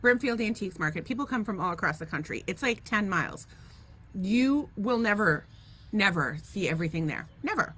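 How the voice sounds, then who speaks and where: A woman co-hosting a podcast in casual chat, an animated storyteller who laughs often and swears freely. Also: medium pitch, brisk, breathy edge. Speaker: podcast co-host.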